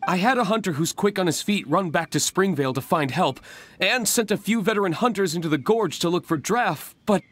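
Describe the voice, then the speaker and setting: High-pitched, anxious young hunter. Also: male voice, animated, a bit bright. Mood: sheepish, worried. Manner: hesitant, earnest, halting. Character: anxious, apologetic young male village hunter. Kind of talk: scripted video game dialogue. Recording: music behind. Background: background game music.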